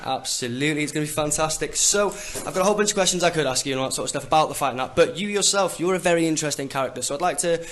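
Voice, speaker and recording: Fast, upbeat North Welsh interviewer. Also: male voice, fairly high pitch, a bit bright, a little hiss or hum. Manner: upbeat, eager. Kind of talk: interview questions.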